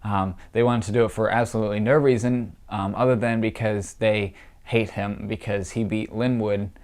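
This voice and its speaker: A man's voice: lowish voice, little pitch movement, moderate pace, darkish tone. A young man talking in casual political commentary, congested and nasal from allergies, opinionated and scornful with many fillers. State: congested, stuffy nose from allergies.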